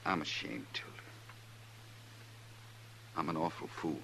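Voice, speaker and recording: Hot-headed American rancher type, jealous husband, old radio drama. Very low, forceful voice, rustic grammar, slightly noisy vintage sound. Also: male voice, a little slow, creaky, exaggerated voice. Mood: ashamed. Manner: plain rustic speech, intense. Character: jealous, hot-headed, rough-spoken frontier husband, a man. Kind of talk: 1950s American radio western acting.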